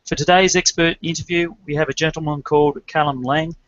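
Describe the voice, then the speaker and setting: Brief: male podcast host from Australia, fairly high voice, upbeat and polished, dull remote-call sound. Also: steady tone, brisk, a bit bright. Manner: upbeat, scripted-sounding introductions. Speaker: podcast host and business coach. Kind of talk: podcast interview hosting. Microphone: remote call, dull lo-fi and dry. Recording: dull dry online call audio.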